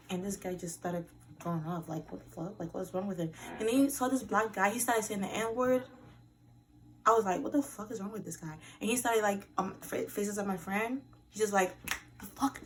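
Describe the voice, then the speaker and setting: A young woman telling a story in an animated way, swearing often. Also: mid-range voice, slight background noise. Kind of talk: casual storytelling. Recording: distant with faint ambience.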